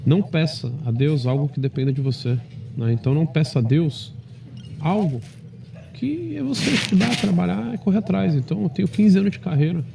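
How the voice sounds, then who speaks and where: A man in his thirties speaks assertively in slangy casual talk, his gravelly voice a little high and dark. He is caught from a distance, with faint vehicle noise behind him. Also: animated, a little fast. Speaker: businessman. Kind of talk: casual monologue to camera.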